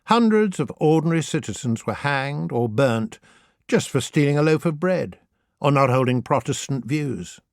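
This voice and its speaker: A man's voice: a little high, animated, normal speed, gravelly, dry recording, clean studio sound. A man reading scripted humorous history as an audiobook narrator, in a wry, theatrical storytelling manner.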